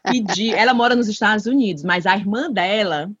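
Woman, husky voice, dry muffled lo-fi mic, animated storyteller. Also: mid-range voice, fairly quick, no echo. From Brazil. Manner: lively anecdote-telling, acts out family members. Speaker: podcast co-host. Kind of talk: casual chat.

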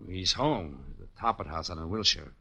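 An actor playing a needy, eager small-time American burlesque comic in 1940s radio style, hesitant and cajoling. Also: male voice, low voice, expressive, normal speed, gravelly, a bit bright, slightly rough, full character voice. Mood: wary, eager. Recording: dull vintage radio recording.

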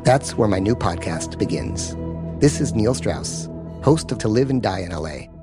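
Low male promo read, slow and dramatic, music bed behind. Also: sing-song. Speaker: podcast host in an ad. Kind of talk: scripted podcast promo.

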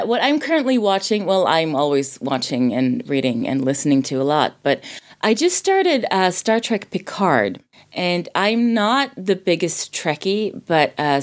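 A woman from Sweden, a native Swedish speaker in her thirties, joins a podcast as guest co-host. Her voice is notably deep for a woman. She chats in a casual style. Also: big pitch swings, normal speed. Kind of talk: casual chat.

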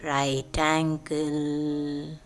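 A woman leading a guided sleep meditation in a soothing, deliberate way, with pauses between short phrases. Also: sits low, monotone. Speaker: meditation guide, podcast host. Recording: voice over background music. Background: meditation music.

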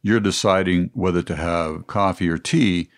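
A man born in Italy and raised in Canada, co-hosting a podcast, giving measured explanations and often murmuring agreement. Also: low-pitched, dry recording. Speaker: podcast co-host. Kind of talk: conversational discussion.

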